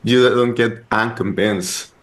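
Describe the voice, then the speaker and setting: A man's voice: on the low side, fairly even intonation, takes it slowly. A man with a Chilean accent, a guest on a podcast interview, telling his story in a rambling way full of "like" and "uh". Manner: rambling, heavy use of "like" and "uh". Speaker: guest, startup co-founder.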